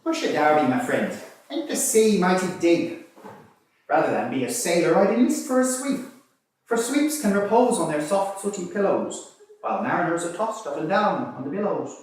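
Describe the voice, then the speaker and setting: Man reciting as a boisterous convict sailor, loud, echoey. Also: sits high, voice pushed up. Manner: declamatory, rhythmic, rollicking. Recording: distant mic in very echoey room.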